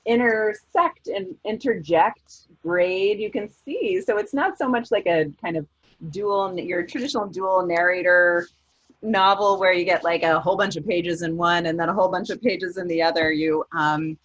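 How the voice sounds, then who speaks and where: Woman author, low husky voice, casual and chatty. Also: normal speed, highs cut off. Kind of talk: casual book event talk.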